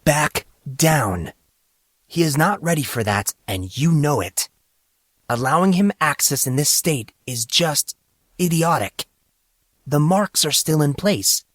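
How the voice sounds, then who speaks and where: Man playing a composed, grave teenage boy, higher-voiced. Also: animated, dry recording. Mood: stern. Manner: measured, stern. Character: calm, cold teenage boy, a grave otherworldly double. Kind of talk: audiobook character acting.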